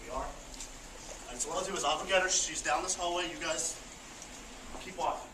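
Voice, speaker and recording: Man acting as brisk group leader, casual found-footage dialogue, distant, echoey, noisy recording. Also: on the high side, natural voice. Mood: businesslike, confident. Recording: noisy, echoey hallway, far from mic.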